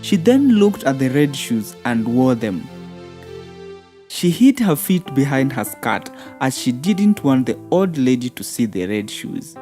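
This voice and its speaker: A woman's voice: rumbling low, sing-song, a little slow. A woman narrating a scripted fairy tale for children as a storyteller. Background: soft background music.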